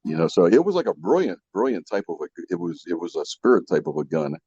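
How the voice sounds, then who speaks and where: A man's voice: low-pitched, big pitch swings, fast talker, mellow, husky, dry recording. A man co-hosting a podcast, explaining things in casual chat, repeating himself and checking in with his listeners. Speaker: podcast co-host. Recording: muffled lo-fi audio, dry.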